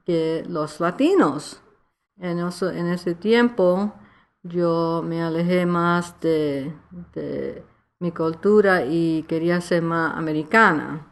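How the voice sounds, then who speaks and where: Slow, halting Nuyorican woman. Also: fairly low pitch. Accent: New York Puerto Rican. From New York, United States. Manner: halting Spanish, searching for words, slipping into English. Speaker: author being interviewed. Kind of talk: reflective personal interview in casual Spanglish.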